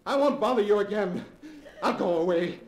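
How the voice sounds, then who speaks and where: A trapped man in an old radio chiller, pleading for his life. His voice is extremely high, loud and frantic, ringing with echo on a vintage recording. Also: normal speed. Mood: desperate pleading. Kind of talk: 1940s radio horror acting.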